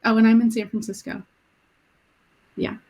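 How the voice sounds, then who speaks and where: Flat-toned woman giving a career rundown. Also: a little high, low-quality recording. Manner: matter-of-fact, brisk career summary. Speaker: panelist. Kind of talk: panel introduction.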